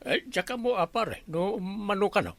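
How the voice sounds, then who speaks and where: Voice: medium pitch, sing-song, normal speed, a bit bright, no echo. A performer playing a grumbling, evasive villager with an Ilocano accent in a radio comedy drama, asking complaining questions.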